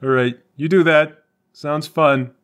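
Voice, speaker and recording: A man's voice: a little high, steady tone, takes it slowly, a bit bright. A man playing a glum, crooked slacker cop boyfriend in a tabletop game, with a hesitant, stammering delivery. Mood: glum, pleading.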